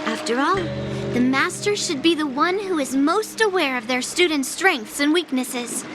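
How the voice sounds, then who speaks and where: A composed female mentor from an anime game. Her high voice is measured and instructive, with music clearly behind it. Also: expressive. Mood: explaining. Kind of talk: anime-style game voice acting.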